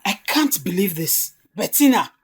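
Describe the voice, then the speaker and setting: A woman's voice: medium pitch, sing-song, unhurried, husky, heavily acted. A woman playing an indignant, melodramatic middle-aged mother in a story drama. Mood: shocked, indignant. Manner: emphatic, melodramatic.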